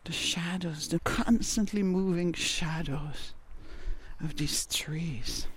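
A woman musing poetically outdoors, her voice hushed at the ends of phrases. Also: on the low side, slow, slightly rough. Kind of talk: multilingual poetic observation. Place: outdoors in a forest.

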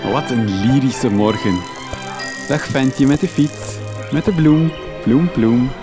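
A man's voice: mid-range voice, slow, music in the background, lightly acted. A man with a Flemish accent playing a naive, pompous young journalist in a comic radio drama, declaiming lyrically. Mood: melodramatic, dreamy.

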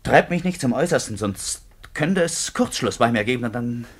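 A man acts out a tormented, resentful killer in a radio drama. His medium-pitched voice swings widely, intense and agitated, between muttering and outburst. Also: expressive, normal speed, natural voice. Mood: menacing. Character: volatile, tormented murderer and resentful lover. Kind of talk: German radio play acting.

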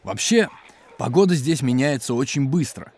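An actor playing a hospitable, jolly geologist and cook at a polar station in a children's educational audio drama, with an animated, explanatory way of talking. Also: male voice, medium pitch, moderate pace, natural voice. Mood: enthusiastic explaining. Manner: animated, chuckling, explanatory, hearty.

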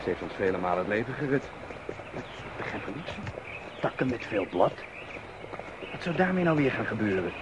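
Lively, lovestruck young suitor. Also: male voice, on the low side, fairly quick, long pauses. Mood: puzzled. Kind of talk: Dutch radio play acting. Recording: dull, noisy radio play recording.

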